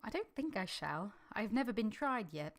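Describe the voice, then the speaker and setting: An actress playing a devoted, composed young woman in an old-time radio drama, earnest, deferential and measured. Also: mid-range voice, very animated, no room sound, studio quality. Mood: steady.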